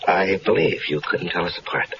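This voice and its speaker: A man's voice: sits low, animated, normal speed, a bit bright. A wry, wary tough guy. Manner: wry, skeptical. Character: wary, streetwise man lured into a murder plot. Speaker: radio drama actor. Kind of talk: 1970s radio drama acting. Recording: dull, faintly hissy 1970s radio recording.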